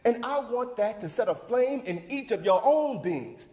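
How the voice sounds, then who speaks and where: A man's voice: very high voice, lively intonation, unhurried, a little mellow. A man acting the part of a fervent older community leader, giving a folksy, rousing, preacherly speech in a theatrical monologue. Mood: solemn, urgent. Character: John Wesley Dobbs, fervent older civic leader rallying a church crowd. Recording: echoey hall with long reverb.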